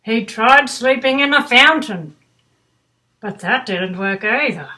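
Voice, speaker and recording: A woman's voice: on the high side, animated, slow pace, pushed and bright, slight background noise. An older woman reading a children's bedtime story aloud with doting, grandmotherly asides. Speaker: storybook reader.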